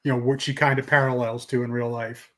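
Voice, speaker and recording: A man's voice: mid-range voice, little pitch movement, a little fast. A man on a fan panel, talking casually in a joking, informal manner. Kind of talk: casual chat.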